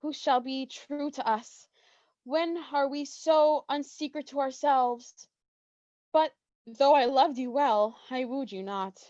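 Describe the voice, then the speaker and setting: A woman's voice: high-pitched, slow, a little mellow, dry recording, muffled recording. An actress playing a coy, lovestruck young woman confessing her feelings in earnest, theatrical Shakespearean verse. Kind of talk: Shakespearean staged reading.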